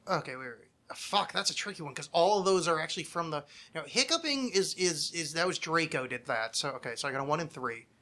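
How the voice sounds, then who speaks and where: A man's voice: on the high side, animated, fast talker, few pauses, sharp tone. A man chatting casually as a podcast host, hesitant and thinking aloud, often self-critical and swearing freely. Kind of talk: casual chat, answering trivia questions.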